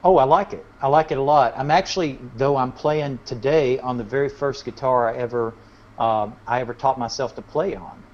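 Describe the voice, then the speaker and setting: A man's voice: medium pitch, fairly quick, a little mellow. Chatty man with dull, lo-fi sound. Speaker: musician guest. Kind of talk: casual interview chat, anecdotes. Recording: dull, lo-fi audio.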